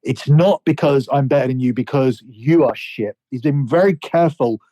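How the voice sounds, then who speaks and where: A man with a mellow, warm mid-range voice breaks down a wrestler's character in steady, explanatory talk. He is close and dry on the mic, in a relaxed podcast setting. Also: mid-range voice, moderate pace, no echo. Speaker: podcast co-host. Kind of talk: casual wrestling analysis.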